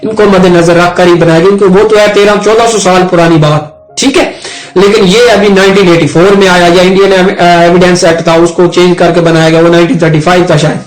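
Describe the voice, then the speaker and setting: A man's voice: high voice, steady tone, brisk. A man with a Pakistani accent giving an informal explanatory law lesson, conversational and often repeating and rephrasing his points. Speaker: advocate and legal educator. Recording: roomy echo, music underneath.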